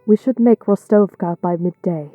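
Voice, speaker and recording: An actress playing a guarded, quietly compassionate woman traveller in an audio drama, with a terse, sober, measured delivery. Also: on the low side, no echo, phone-quality sound, soft background music, lightly acted. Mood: businesslike. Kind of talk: audio drama character acting.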